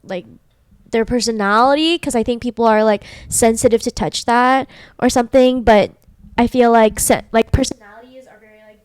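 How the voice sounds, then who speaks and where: Young woman podcast co-host, high clear voice, rambling casual delivery, dry close mic. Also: normal speed, no echo. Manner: rambling, heavy use of "like". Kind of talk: casual chat.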